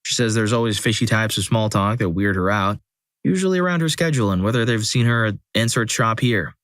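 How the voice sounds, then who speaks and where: A man with a lowish voice reads first-person stories aloud in a steady, scripted delivery. He drops in dry, wry asides between the stories. The sound is close and dead, with no room around it. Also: fairly quick, dry recording. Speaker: narrator. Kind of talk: scripted story narration.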